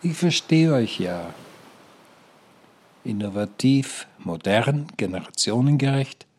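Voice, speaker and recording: An actor playing an older care-home pensioner who stubbornly preaches positivity in a declamatory, insistent radio play style. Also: male voice, mid-range voice, animated, takes it slowly, darkish tone, husky. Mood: earnest persuasion. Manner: declamatory, insistent, repeats himself. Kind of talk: German radio play acting.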